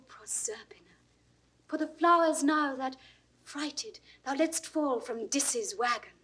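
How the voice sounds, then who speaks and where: Gentle young shepherdess, high voice, unhurried, lyrical classical verse, British RP, stage drama. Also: female voice, a little hiss or hum. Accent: British Received Pronunciation. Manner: lyrical, measured, carefully enunciated. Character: gentle, ardent young shepherdess, queen of a country feast.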